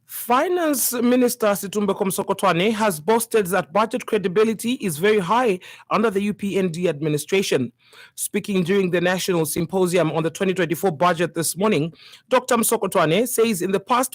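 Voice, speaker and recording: A woman's voice: medium pitch, dry recording. Female newsreader, Zambian accent, formal bulletin read, dry studio-style sound. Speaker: radio news reader. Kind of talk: scripted news bulletin reading.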